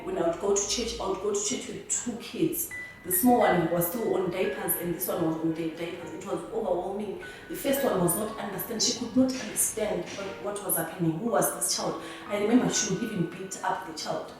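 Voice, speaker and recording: A young woman from Zimbabwe with a Zimbabwean accent, telling her personal story in a halting, earnest way that often restarts words. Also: mid-range voice, dark tone. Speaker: interviewee. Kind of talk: personal testimony. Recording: very echoey room, voice from a distance.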